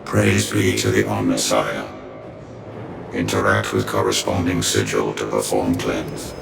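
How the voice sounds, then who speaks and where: Game tech-priest, male,: ritual intoning, rumbling gravel, dead flat. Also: unhurried, full character voice. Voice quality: deep gravelly monotone. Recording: distant voice under loud game music.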